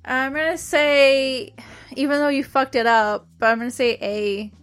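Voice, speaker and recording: A woman with a somewhat high voice joining casual banter among friends. She talks frankly and cheekily, reasoning her answers out in a chatty way. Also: fairly even intonation, a little slow. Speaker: panelist.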